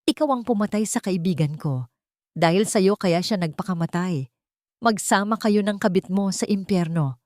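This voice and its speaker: A woman's voice: medium pitch, normal speed, no echo, studio quality, close to an everyday voice. A woman with a Filipino accent voicing a furious, accusing best friend in an audiobook drama. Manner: loud, accusing.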